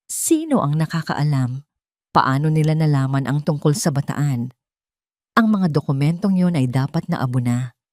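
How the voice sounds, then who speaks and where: A woman narrator with a low-pitched voice tells a scripted love drama. Her delivery is that of dramatic storytelling. The recording is dry and close. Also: a little mellow, dry recording.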